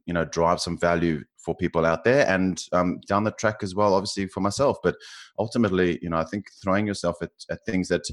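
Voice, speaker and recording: A man's voice: low voice, fairly even intonation, fairly quick. A man in his forties from South Africa with a South African accent, a finance professional speaking as a guest in an interview, thoughtful and hesitant with many fillers and restarts. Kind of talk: podcast interview.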